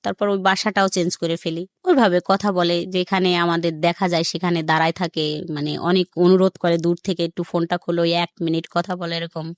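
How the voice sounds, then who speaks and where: Lowish-voiced middle-aged Bangladeshi woman. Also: level tone, fairly quick, dry recording, clean studio sound. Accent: regional Bangladeshi. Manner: plain colloquial telling, quotes reported dialogue. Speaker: guest telling own life story. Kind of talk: informal personal storytelling. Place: radio studio.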